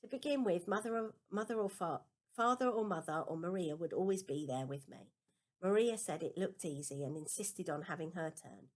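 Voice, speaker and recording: Woman reading a kids book out loud, bit of a low voice, storytelling. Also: moderate pace. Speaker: storybook reader. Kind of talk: scripted narration, children's novel read aloud in first person.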